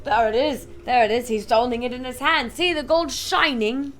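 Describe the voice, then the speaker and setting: A woman's voice: high voice, steady tone, normal speed, fairly bright tone, a little hiss or hum. A woman voicing an eager bystander in a crowd, speaking in a raised voice.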